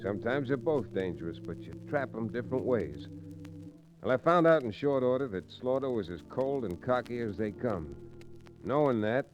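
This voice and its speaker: An actor playing a folksy, firm frontier lawyer hero in an old-time radio western. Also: male voice, lowish voice, darkish tone, dull audio, lightly acted. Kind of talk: old-time radio western acting, first-person narration and dialogue. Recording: vintage radio drama recording.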